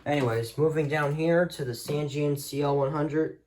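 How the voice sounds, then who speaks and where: A man's voice: a little high, fairly even intonation, normal speed, a little mellow. A man talking informally and offhand as he shows off a collection, in an unscripted hobby video. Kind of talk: casual showcase of a radio collection, unscripted.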